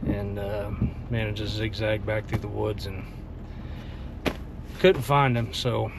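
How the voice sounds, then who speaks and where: A man in his forties with a Texan accent, filming himself on a phone while bowhunting, talking in a relaxed, plain-spoken way. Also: fairly low pitch, slow, creaky, very noisy. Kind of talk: casual self-filmed hunting vlog. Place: pickup truck cab and outdoors on a farm. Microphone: phone camera at arm's length.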